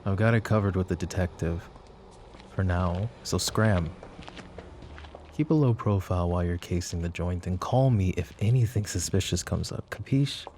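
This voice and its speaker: Roleplay audio: a man as a smug mafia boss, low gravelly purr, warm tone, faint music under. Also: normal speed, slight background noise. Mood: commanding. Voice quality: gravelly, teasing, soft-spoken. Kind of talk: ASMR roleplay acting.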